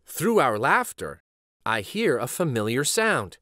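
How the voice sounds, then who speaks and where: Male children's read-aloud host, bright voice, unhurried and dramatic with wide expressive swings. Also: medium pitch, dry recording, studio quality. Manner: animated, dramatic. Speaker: children's storybook reader and show host.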